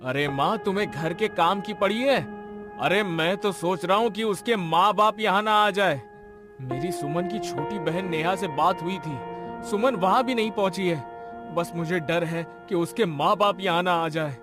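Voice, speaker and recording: A man's voice: high voice, moderate pace, over music, lightly acted. Man playing a fretful cartoon husband. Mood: anxious. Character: grown son Vinod, worried husband.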